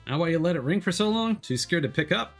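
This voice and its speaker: A man's voice: a little high, animated, quick pace, voice pushed up. A loyal investigator's sidekick, voiced by a man, talks in a raised, breezy tone. The teammate teases and coaxes casually in a game story, with music playing behind. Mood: teasing, supportive.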